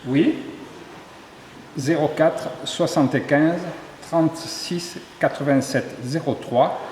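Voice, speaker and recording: Sculptor from southern France, somewhat high voice, walking through his exhibit; echoey hall, faint background noise. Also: slow, a little mellow. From southern Ardèche, France. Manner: descriptive, lists details with hesitations. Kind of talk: interview, explaining his artworks. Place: tourist office exhibition hall.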